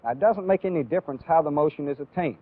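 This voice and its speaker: An American man giving a methodical, deliberate instructional lecture as a training film instructor. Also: fairly high pitch. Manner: deliberate, methodical, clearly explanatory. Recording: phone-like, band-limited old training film audio.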